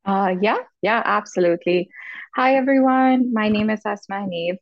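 A woman's voice: medium pitch, normal speed, no room sound, highs cut off. A woman in her thirties from Pakistan with a Pakistani accent, talking informally as a student panelist. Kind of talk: informal self-introduction.

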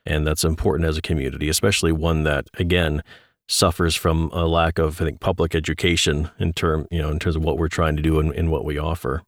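A man with a very low voice chats casually as a podcast host. The sound is close and dry. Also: little pitch movement, a little fast, no echo. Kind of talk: casual interview chat.

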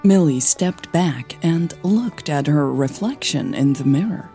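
A woman's voice: sits low, animated, unhurried, mellow, faint music behind. A woman hosting a fiction podcast, giving announcements and narrating stories.

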